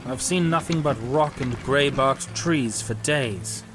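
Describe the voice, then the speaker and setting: A man's voice: mid-range voice, takes it slowly, music in the background, natural voice. A man playing a weary, proud captive adventurer in an audiobook, grimly determined, with formal old-fashioned phrasing. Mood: sullen, weary. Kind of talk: acted audiobook dialogue.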